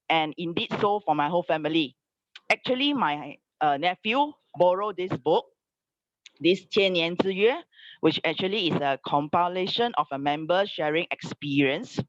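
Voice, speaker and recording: A woman's voice: deep voice, no echo. A woman from Singapore, giving a polite, formal testimony as a guest speaker. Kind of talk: testimony sharing. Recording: dry, dull online call audio.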